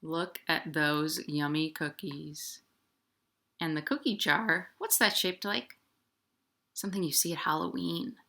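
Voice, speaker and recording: A woman's voice: low-pitched, a little slow, creaky, pushed and bright. A woman hosting a children's storytime, reading playfully in sing-song rhymes and speaking directly to young children. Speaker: children's librarian, storytime host. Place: at home, indoors.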